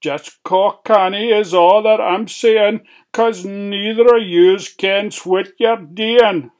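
Storybook: reader doing a fretful homebody Scottish pig, raised pitch. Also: fairly even intonation, takes it slowly, a little mellow, lightly acted. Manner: fretful, warning. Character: cautious homebody male pig.

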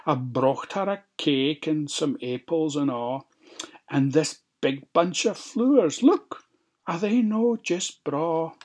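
A wee Scottish girl from a fairy tale, acted out by a storyteller. She is innocent, polite and chatty, speaking slowly in a slightly raised voice. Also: medium pitch, breathy edge. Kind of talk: storybook character voice.

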